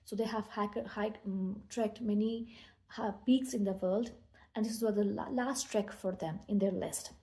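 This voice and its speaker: Indian woman doing a personal story talk, relaxed dark-ish tone, mid pitch, kinda halting and earnest. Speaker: meditation speaker.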